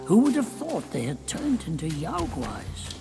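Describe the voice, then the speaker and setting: A brooding mountain spirit from a game, highish slow dramatic musing, very echoey. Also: male voice, huge pitch swings, gravelly, loud music behind the voice, exaggerated voice. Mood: musing, surprised. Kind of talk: game cutscene voice acting. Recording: echoey, long hall reverb.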